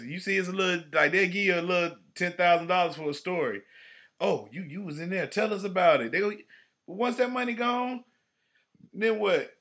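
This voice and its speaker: Slangy laid-back man in his forties. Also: on the high side. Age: 40s. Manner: animated, slangy, trailing off quietly at phrase ends. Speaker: podcast co-host. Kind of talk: casual chat.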